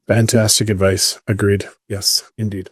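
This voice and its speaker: A man's voice: lowish voice, level tone, a little slow, slightly rough, no room sound, studio quality. A man hosting a podcast in a casual, chummy, affirming conversational style. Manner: chummy, affirming, addresses guest as friend. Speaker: podcast host.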